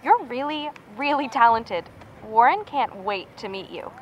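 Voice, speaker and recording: A friendly, gushing assistant in an audio drama phone call. She sounds fairly high, polite and effusive through slightly muffled audio with faint ambient noise. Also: lively intonation, unhurried. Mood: excited, friendly.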